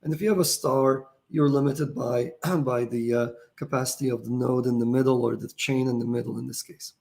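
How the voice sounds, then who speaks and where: A man's voice: mid-range voice, steady tone, a little fast, vocal fry, a little mellow. A middle-aged man who is losing his voice and feeling tired, explaining technical topics in a casual way and thinking aloud with many fillers and restarts. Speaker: founder answering viewer questions.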